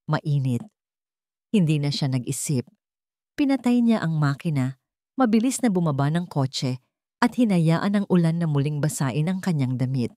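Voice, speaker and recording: Female narrator for dramatic romance fiction, low register, dry studio sound. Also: expressive, moderate pace, mellow, dry recording.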